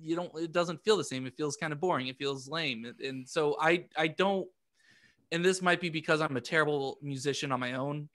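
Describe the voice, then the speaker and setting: A man chatting casually as a podcast co-host, halting and repeating words as he works out his thoughts, often self-deprecating. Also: a little high, fairly bright tone. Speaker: podcast co-host and amateur musician. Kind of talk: casual group chat.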